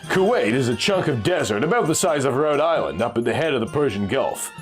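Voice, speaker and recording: A man's voice: medium pitch, very animated, a little fast. A man narrating a pulp adventure story from a script, as a storyteller reading aloud. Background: music.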